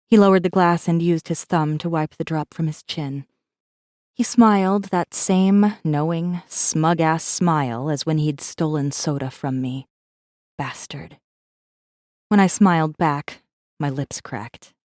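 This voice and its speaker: A woman's voice: deep voice, unhurried, a little mellow, dry recording, studio quality. A woman playing a defiant, bitter captive rebel assassin who narrates her own story in an intense, brooding way. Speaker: audiobook narrator. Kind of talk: first-person dramatic narration.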